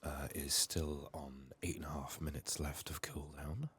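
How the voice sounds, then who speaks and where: A soft-spoken man giving unhurried ASMR game commentary, musing to himself and sometimes slipping into a whisper. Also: very deep voice, level tone, slight background noise. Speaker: gaming streamer, ASMR host.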